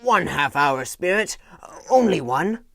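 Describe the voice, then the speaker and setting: A man's voice: on the high side, big pitch swings, slow, gravelly, fairly bright tone, no room sound, voice pushed up, heavily acted. A man reading aloud and voicing an old miser who pleads in a raised, eager tone. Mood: pleading. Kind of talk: audiobook character voice.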